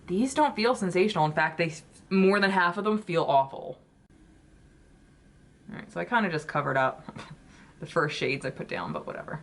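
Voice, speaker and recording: A woman's voice: fairly low pitch, expressive, moderate pace, long pauses. A woman beauty vlogger chatting casually while she does her makeup, swearing often and gushing about products.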